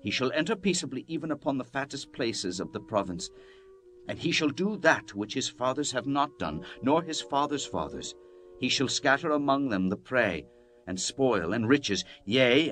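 A man narrating the Bible as a dramatized scripture reading, in a solemn, measured, declamatory manner. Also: mid-range voice, very animated, a bit bright. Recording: music bed under the voice. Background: background music.

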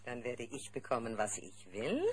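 An actress playing an imperious, power-hungry evil sorceress in a children's cartoon audio drama, purring and theatrical. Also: female voice, rumbling low, animated, moderate pace. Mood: silky, scheming.

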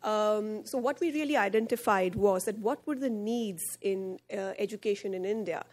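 A woman's voice: medium pitch, moderate pace, a little mellow. A woman in her thirties giving a conference panel presentation at a podium, explaining a project with frequent fillers. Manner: explanatory, gestures while speaking, frequent fillers. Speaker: head of partnerships at a charity. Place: conference hall stage at a podium. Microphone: podium microphone.

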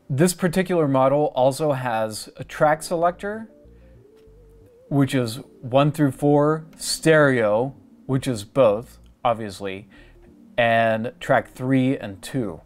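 Middle-aged man giving a step-by-step tutorial. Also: mid-range voice. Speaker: tutorial host. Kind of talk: step-by-step how-to demonstration.

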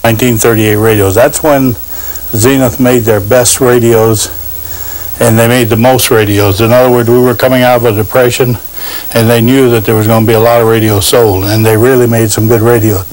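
A man's voice: medium pitch, little pitch movement, brisk, a little mellow, poor audio. An older man, a radio collector, explaining informally.

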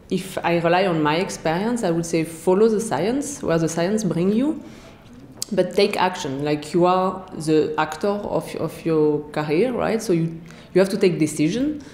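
Low-voiced French woman, halting. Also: darkish tone, echoey room. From France. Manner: hesitant, many fillers and restarts. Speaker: interviewee, scientist. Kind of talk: interview answers. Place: bright office room indoors.

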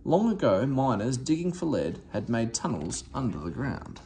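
A man reading a children's story aloud in an expressive, theatrical manner. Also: mid-range voice, normal speed, slight background noise. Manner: animated, theatrical with character voices. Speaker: storybook reader.

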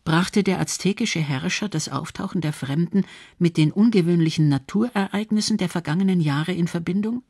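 A woman's voice: on the low side, normal speed, mellow, husky, dry recording, studio quality. A woman reading scripted documentary narration.

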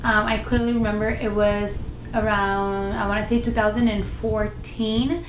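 Loud, animated young woman vlogging at home. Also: mid-range voice, moderate pace, faint music behind. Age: young adult. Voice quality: loud voice by nature. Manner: animated, gestures a lot with her hands. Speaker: lifestyle vlogger. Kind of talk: casual talk to camera. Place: living room, indoors. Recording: roomy with noticeable background noise.

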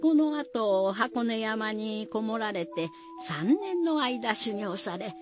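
Slow, expressive woman storyteller. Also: a little high, music in the background. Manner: rustic dialect endings, addresses listeners directly. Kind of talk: folksy radio folktale narration.

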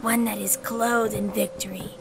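A woman's voice: mid-range voice, little pitch movement, vocal fry, noisy background, natural voice. A woman voicing a mysterious, haughty and coldly zealous echidna cultist in a comic dub. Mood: haughty disdain. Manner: haughty, deliberate. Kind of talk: scripted comic dub acting.

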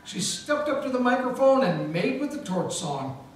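A man narrating and playing a wisecracking hardboiled detective in a live 1940s radio play, deadpan. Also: very high-pitched, moderate pace. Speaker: narrator and lead actor. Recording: echoey hall from far off with music.

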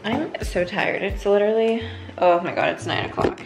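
A woman's voice: mid-range voice, moderate pace, loud music behind the voice. A woman in her twenties chatting casually into a handheld camera as she goes about her day, rambling and saying "like" a lot. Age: 20s. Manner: chatty, rambling, says "like" often. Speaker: vlogger. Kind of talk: casual vlog chat. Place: car, kitchen and apartment. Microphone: handheld phone camera at arm's length.

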